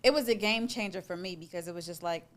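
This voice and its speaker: Lady interviewer, voice a bit on the low side, animated and chatty, appreciative tone. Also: fast talker. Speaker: interviewer and host. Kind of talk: live conversational interview.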